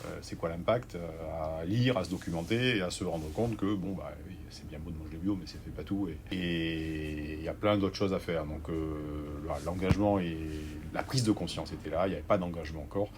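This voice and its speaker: A man in his forties from southwest France, the guest, talking through his career slowly with many fillers and restarts. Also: low voice, darkish tone, slight background noise. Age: 40s. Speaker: organic grocery owner, guest. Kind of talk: conversational interview.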